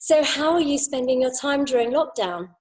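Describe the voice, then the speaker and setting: A woman hosts an interview, reading a polished introduction and then asking friendly questions. Her voice sits a little high, and she sounds like she is on a video call. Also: animated, moderate pace, a little mellow. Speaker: interview host.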